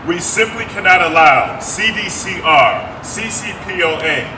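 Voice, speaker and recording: A man's voice: high voice, expressive, measured pace. A man performing a dramatic reading of a written statement. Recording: noisy roomy recording from a distance.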